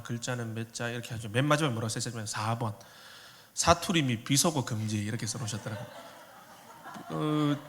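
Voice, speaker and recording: A man's voice: mid-range voice, fairly bright tone, a little hiss or hum. Pastor in his thirties with a faint Korean regional dialect, easy joking delivery, sanctuary reverb. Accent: slight Korean regional dialect. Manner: joking, conversational preaching. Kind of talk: sermon. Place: church sanctuary. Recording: long hall reverb.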